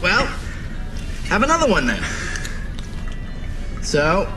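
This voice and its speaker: A boisterous pilot buddy teases and swaggers through a game cutscene. His delivery is wildly animated, with music in the background. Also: sits high, takes it slowly, heavily acted, poor audio. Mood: teasing. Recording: music behind.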